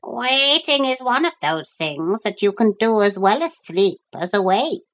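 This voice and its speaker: A woman voicing a modest, kindly male parrot in a storybook reading, speaking slowly and gently. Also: mid-range voice, lively intonation, pitched above the performer's own voice. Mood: mild. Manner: slow, gentle and consoling.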